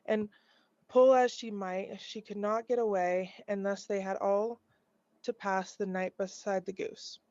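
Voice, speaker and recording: A woman reading a children's fairy tale aloud, a little haltingly. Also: mid-range voice, steady tone, a little mellow, dry recording. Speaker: storybook reader. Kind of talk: scripted children's story read aloud. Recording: dull, lo-fi dry recording.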